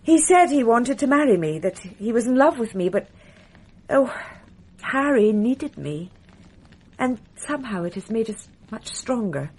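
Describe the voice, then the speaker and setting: An actress playing a married woman in a radio drama who tells a policeman, softly and confidingly, about a past affair. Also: a little high, big pitch swings, unhurried, husky, exaggerated voice, low-quality recording. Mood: earnest. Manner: soft, confiding, wistful.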